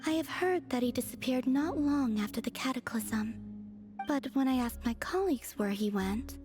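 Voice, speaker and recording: Ethereal young goddess played by a woman for a game: high, airy, deadpan, aloof, formal phrasing, light music bed. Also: moderate pace, close to an everyday voice. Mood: calm, wistful. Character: serene, enigmatic young moon goddess maiden, aloof and formal. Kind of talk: English video game dialogue acting. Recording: faint music behind the voice. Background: faint background music.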